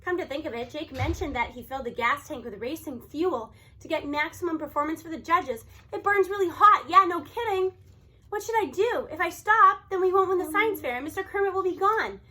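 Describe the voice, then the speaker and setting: Bright, high-voiced woman reading a story aloud. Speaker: audiobook reader. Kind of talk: expressive read-aloud of a children's novel.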